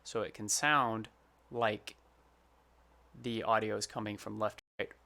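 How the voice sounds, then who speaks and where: A man's voice: fairly low pitch, steady tone, unhurried, creaky, sharp tone. A man who works as a sound designer, giving an informal, plain-spoken tutorial. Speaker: sound designer presenting his project.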